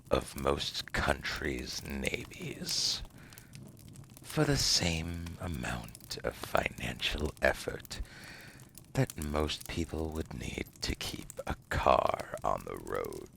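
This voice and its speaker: An extremely low, crackling male devil acted by a man murmurs in a breathy, gravelly whisper. He is seductive and cunning, drawing out his words slowly and theatrically as he tempts the listener in an ASMR roleplay. Some background noise sits under the voice. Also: sharp tone, exaggerated voice. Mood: seductive, tempting. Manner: theatrical, drawn-out, teasing.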